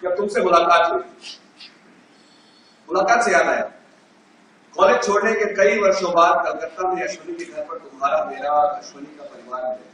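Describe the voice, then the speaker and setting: Bright-voiced man echoing in a hall. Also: on the high side, normal speed, long pauses, slight background noise. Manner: nostalgic, jokey letter-writing tone. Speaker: stage actor reading a letter. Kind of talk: stage play monologue. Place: large auditorium. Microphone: unamplified stage, far from the recorder. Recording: echoey hall from far off.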